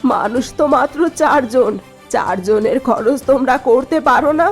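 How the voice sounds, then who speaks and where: A woman's voice: high-pitched, unhurried. Woman acting a stern, bossy mother-in-law over music. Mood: worried, indignant. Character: older scheming mother-in-law, bitter and bossy. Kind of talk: Bengali cartoon voice acting. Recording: music behind the voice.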